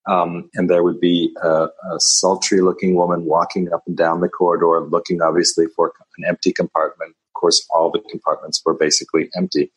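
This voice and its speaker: Very deep-voiced wry storyteller man. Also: monotone, brisk, few pauses, slightly rough, rough recording. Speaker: journalist, interview guest. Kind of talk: anecdotal storytelling.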